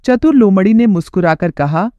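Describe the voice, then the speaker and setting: Lively storybook narrator, mellow voice. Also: medium pitch, normal speed, no room sound, lo-fi sound.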